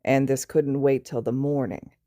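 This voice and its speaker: A woman voicing a tired, grumpy adult male teacher who talks flat and stern in a storybook reading. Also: a bass voice, normal speed, dry recording, clean studio sound. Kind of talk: fan fiction read aloud.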